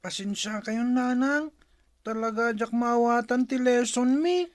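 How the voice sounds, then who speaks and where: A woman's voice: a little high, unhurried, no room sound, lightly acted. A woman voicing a meek, apologetic young schoolboy in an Ilocano radio drama.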